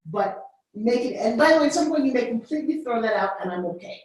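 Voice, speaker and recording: A woman's voice: a little high, expressive, quick pace. A woman business coach giving a live workshop, direct, blunt and playful as she gives feedback. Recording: very echoey room, mic far off.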